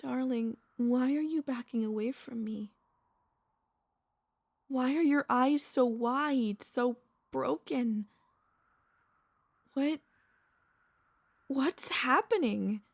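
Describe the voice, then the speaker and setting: A woman playing a tender, anxious, possessive vampire mistress in an intimate ASMR audio roleplay, speaking in soft, formal, pleading phrases with dramatic pauses. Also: high voice, vocal fry, dry recording, exaggerated voice. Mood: distressed, imploring.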